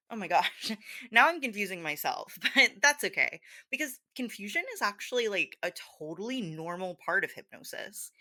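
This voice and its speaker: A woman acts out a ditzy, bubbly hypnosis teacher with a bright voice. She speaks slowly and hesitantly, her pitch swinging widely as she loses her thread in a soft, girlish, giggly roleplay. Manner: scattered, hesitant, girlish filler-laden talk with gasps and giggles. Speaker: hypnosis performer playing a teacher.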